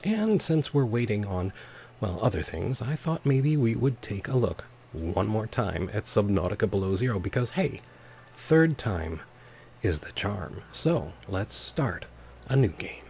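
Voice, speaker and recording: A man's voice: lowish voice, sing-song, unhurried, vocal fry, darkish tone, slight background noise. A man hosting a let's play, commentating and reading game text aloud with wry, playful asides. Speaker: gaming video host.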